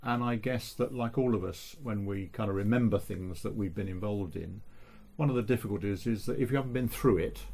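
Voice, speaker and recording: A man speaking as an interview guest in a measured, thoughtful way. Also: fairly low pitch, creaky, darkish tone. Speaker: interview guest, local history researcher. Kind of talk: reflective radio interview.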